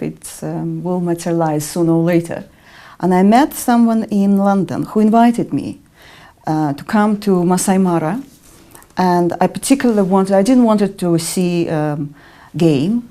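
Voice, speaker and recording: Measured Russian-accented woman, mellow voice. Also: on the low side. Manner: measured, with frequent ums and restarts. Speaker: interview guest, artist and educator. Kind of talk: interview answers.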